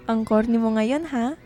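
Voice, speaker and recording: A woman's voice: on the high side, takes it slowly, dark tone. A woman playing a secretive, melancholy young student writer in radio drama dialogue. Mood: resigned.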